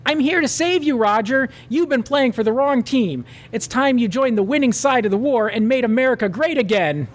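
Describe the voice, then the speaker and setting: A man's voice: very high-pitched, animated, normal speed, voice pushed up. A man voicing an earnest, preachy teenage vigilante hero. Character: self-righteous teenage boy masked hero.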